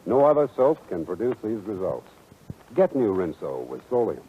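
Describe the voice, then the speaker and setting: A man's voice: lowish voice, very animated, moderate pace, slight background noise. A man reading a sponsor message as the announcer of a 1940s radio show. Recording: tinny, narrow 1940s radio recording.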